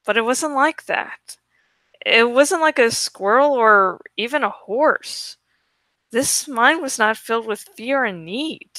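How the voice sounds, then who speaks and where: A woman's voice: high voice, sharp tone, dry recording. A woman reading a first-person story aloud expressively, drawing out words for dramatic emphasis. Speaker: amateur audiobook reader.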